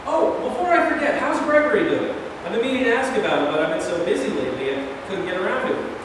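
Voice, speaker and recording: A man's voice: high voice, big pitch swings, fast. A man reading the part of a resolute, plain-spoken president in a staged play, recorded from afar in an echoey room. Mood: brisk, businesslike. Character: resolute, plain-spoken male American president defending his decision. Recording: very echoey hall, far mic, noisy.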